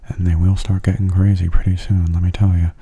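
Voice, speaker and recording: A man giving soft-spoken ASMR commentary over a game in a hushed, breathy murmur, calm and unhurried, close to the microphone. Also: deep voice. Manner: calm, unhurried, musing aloud. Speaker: gaming ASMR host.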